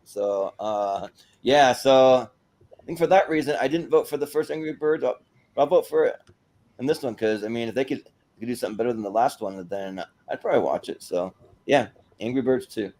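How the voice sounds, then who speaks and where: A man's voice: mid-range voice, a little fast, darkish tone. Casual male panel host. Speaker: host of a movie tournament panel.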